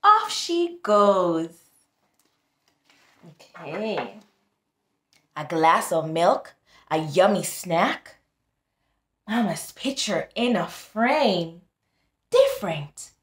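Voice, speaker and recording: Woman doing a kids read-aloud, really slow n lively, teacher-ish, bit of an echoey room. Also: fairly low pitch. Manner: animated, instructive, addressing young children directly. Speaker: tutor hosting a children's book club. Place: small indoor room.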